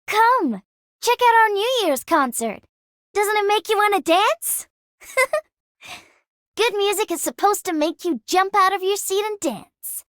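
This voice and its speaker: A woman playing a bouncy, brash young girl in a video game, with an excitable, cheeky way of talking. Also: extremely high pitch, slow, dry recording, studio quality, heavily acted. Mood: excited, cheerful. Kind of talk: video game character voice acting.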